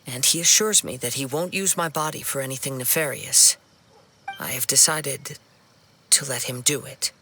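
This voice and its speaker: An actor playing a gentle, composed young swordsman in a video game, calm and thoughtful, with music behind him. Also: mid-range voice, normal speed, background noise, natural voice. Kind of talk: scripted game dialogue.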